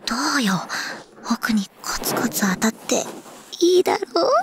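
An actress playing a brash, teasing delinquent high school girl in an ASMR voice drama, with husky, breathy, rough tomboyish speech. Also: very animated, moderate pace, heavy background noise. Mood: soft, attentive. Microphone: close-up ASMR microphone.

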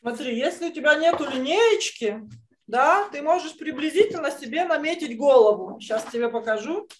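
High-voiced woman teaching drawing. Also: animated, normal speed, a little hiss or hum. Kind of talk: step-by-step online lesson instruction. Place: indoors, on a video call. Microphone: computer mic on video call.

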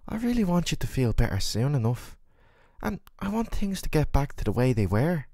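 A man's voice: mid-range voice, very animated, normal speed, dark tone, no room sound, clean studio sound. A man playing a worried, tender boyfriend in an audio roleplay, speaking in a soothing, earnest, affectionate way. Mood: tender, pained. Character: worried, tender, doting boyfriend caring for his sick partner. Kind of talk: scripted boyfriend roleplay ASMR.

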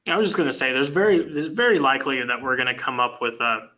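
A man's voice: medium pitch, quick pace, pushed and bright. A man answering technical questions in a web meeting, rambling with many fillers and restarts. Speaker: software engineer presenting a product. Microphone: video call. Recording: dull lo-fi web meeting audio.

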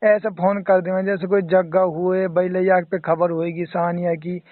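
High-voiced Mewati man, exasperated on the phone. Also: level tone, moderate pace, no room sound. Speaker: phone call participant. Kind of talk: casual phone chat. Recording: band-limited phone call recording.

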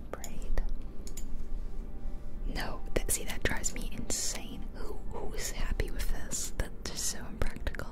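A woman speaks entirely in a breathy, unvoiced whisper. She delivers casual ASMR game commentary, thinking out loud as if chatting to viewers. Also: unhurried. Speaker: ASMR video creator narrating gameplay.

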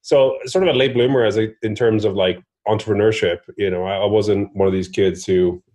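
Husky, low-voiced man from Norway. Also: fairly even intonation, a little fast, darkish tone. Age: 40s. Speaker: interview guest, startup investor. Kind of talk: casual interview chat.

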